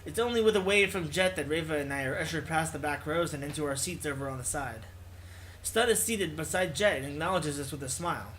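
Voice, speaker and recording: A man's voice: on the high side, fairly quick, a bit bright, a little hiss or hum, poor audio. A man reading visual novel text aloud as a let's player, stumbling over words and correcting himself, with casual asides. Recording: music playing behind the voice.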